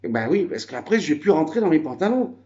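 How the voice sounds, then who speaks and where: A man's voice: fairly high pitch, sing-song, fast, darkish tone, muffled recording. A man from France chatting casually at his country home on a phone video, rambling with many fillers. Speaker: vlogger. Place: house in the countryside.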